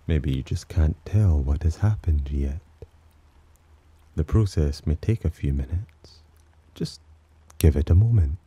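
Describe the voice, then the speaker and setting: A man playing a sly, possessive demon in an ASMR roleplay, speaking in a smug, teasing, softly commanding manner with a husky voice. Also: no room sound, heavily acted. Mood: smug, intrigued. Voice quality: very deep, dark, husky. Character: possessive, amused, sly male demon protector. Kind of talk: ASMR roleplay, slow intimate acting.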